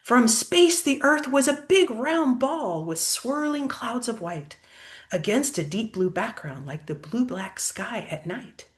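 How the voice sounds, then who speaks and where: A woman's voice: on the high side, lively intonation, takes it slowly. An older woman reading a children's picture book aloud expressively, like a grandmother pointing out pictures to a child.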